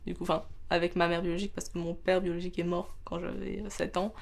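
A woman's voice: on the low side, level tone, fast talker, vocal fry. A woman in her twenties, an art student giving a casual personal testimony in a hesitant, chuckly way. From born in southern Vietnam, adopted into France. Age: 20s. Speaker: art student being interviewed.

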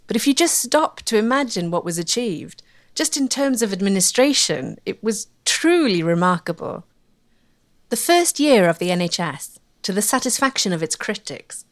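A woman giving measured, scripted documentary narration. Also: fairly low pitch. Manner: clear, measured storytelling.

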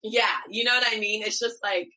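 A young woman raised in Ohio whose first language was Twi, chatting as an interview guest and telling lively stories. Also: medium pitch, moderate pace, poor audio. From United States, Columbus, Ohio. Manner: lively, animated storytelling with laughs. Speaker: guest, beauty brand founder. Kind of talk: casual interview chat.

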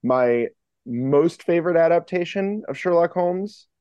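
A man's voice: fairly high pitch, lively intonation, measured pace, warm tone, smooth, dry recording, highs cut off. A man chatting casually as a podcast guest, with a jokey, self-mocking manner. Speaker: podcast guest, fiction podcast co-creator. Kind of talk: casual interview chat.

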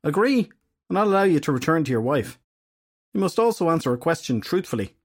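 A fierce fairy goddess, put on by a man reading a story, imperious and haughty. Her voice sits well above his own, with a husky edge. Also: on the high side, big pitch swings, brisk, pitched above the performer's own voice.

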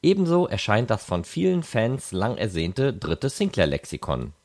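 Low-pitched man with animated intonation. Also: normal speed. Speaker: podcast host. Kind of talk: scripted narration.

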